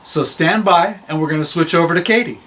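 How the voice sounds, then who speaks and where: A man's voice: fairly high pitch, a little fast, fairly bright tone. A man hosting a video series, chatting casually and informally as he speaks straight to his viewers. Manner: informal, addressing viewers directly. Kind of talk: casual chat introduction to a reading.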